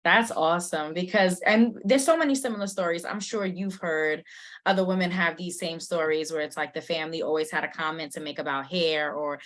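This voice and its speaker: A woman's voice: fairly low pitch, a little fast, fairly bright tone. A woman hosting a summit interview, introducing her guest and asking questions conversationally.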